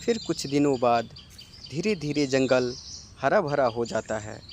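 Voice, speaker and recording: Slow-paced male storyteller, birdsong behind. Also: mid-range voice, lively intonation, a little mellow, a little hiss or hum. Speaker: narrator. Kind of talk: scripted storytelling narration for a children's cartoon.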